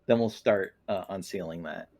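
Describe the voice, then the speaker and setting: A man playing a tabletop roleplaying game, explaining moves in a casual, halting way. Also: low voice, darkish tone, a little hiss or hum. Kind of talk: casual chat.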